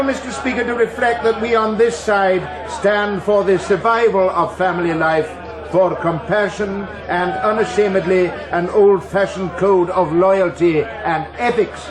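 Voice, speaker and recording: A pompous minister in a political drama, declaiming in a high-pitched voice. The chamber echoes around him and a crowd is audible behind his emphatic speech. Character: pompous government minister orating in parliament.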